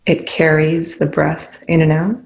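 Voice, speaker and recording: A meditation guide speaking calmly and instructively, leaving long pauses between phrases. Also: medium pitch, creaky, poor audio.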